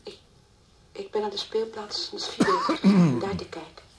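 An actress playing the anxious, distraught mother of a missing girl in a Dutch radio play, halting and repeating her words. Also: medium pitch, sing-song, lightly acted. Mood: anxious, recounting. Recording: old radio play recording, faint hiss.

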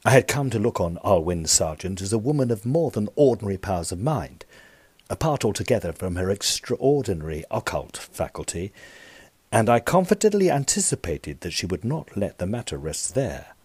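An actor with a British accent playing a peevish, smitten Edwardian gentleman ghost hunter, narrating formally in an audio drama. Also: on the low side, very animated, normal speed, husky, dry recording. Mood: earnest, formal. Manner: formal, stiffly proper, fussy.

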